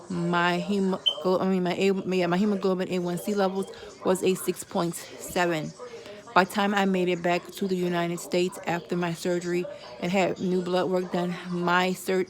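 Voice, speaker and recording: A woman of forty giving viewers a candid personal update, chatting casually with religious asides. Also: fairly low pitch. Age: 40s. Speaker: vlogger.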